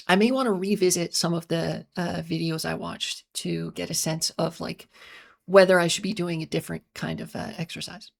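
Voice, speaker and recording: Slightly raspy woman streamer, halting. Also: fairly low pitch, fairly even intonation. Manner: halting, many fillers and restarts, talks fast then slows. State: voice strained from long talking and voice training. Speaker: livestream host. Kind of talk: casual livestream chat, reading chat and rambling on.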